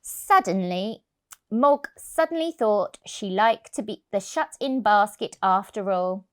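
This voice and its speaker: Slow, animated woman reading a storybook. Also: medium pitch. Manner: expressive, deliberate, stressing key words. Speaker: childminder reading stories to children. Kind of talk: storybook narration for young children.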